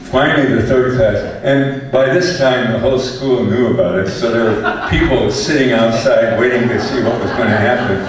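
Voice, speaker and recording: A man's voice: medium pitch, moderate pace, hardly any pauses, crowd noise. An older man and former teacher moderating a surf panel, telling rambling stories with many restarts. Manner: chatty anecdotes with many restarts. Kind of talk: storytelling on a panel. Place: event hall with audience. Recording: echoey hall, voice from far off.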